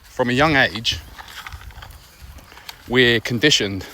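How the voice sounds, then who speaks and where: A man giving an earnest, reflective podcast monologue on an outdoor walk, speaking conversationally in short phrases with pauses between them. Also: mid-range voice. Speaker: podcast host. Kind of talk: reflective personal monologue while walking a dog. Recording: noisy outdoor recording with nature ambience.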